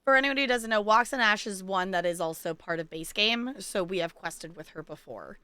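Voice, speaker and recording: A woman game streamer chatting casually and in an animated way with her livestream audience as she plays. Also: mid-range voice, a little fast, smooth, dry recording. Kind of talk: casual livestream chat and reading game dialogue. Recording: music behind the voice.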